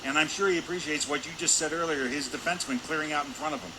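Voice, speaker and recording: A man's voice: a little high, fairly even intonation, fast talker. A man giving loose, conversational color commentary on a hockey game. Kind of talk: conversational sports analysis. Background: noisy indoor ice rink.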